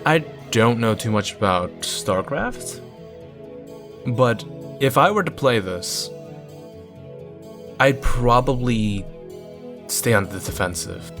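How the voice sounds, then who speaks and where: A man's voice: medium pitch, animated, slow pace, pauses often, over music. A man reading a visual novel aloud in a narrating style, with casual asides.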